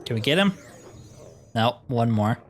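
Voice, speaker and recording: A man's voice: mid-range voice, animated, measured pace, faint music behind. A man giving casual, wry commentary as he plays through a video game, often with dry humor. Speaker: gaming video host.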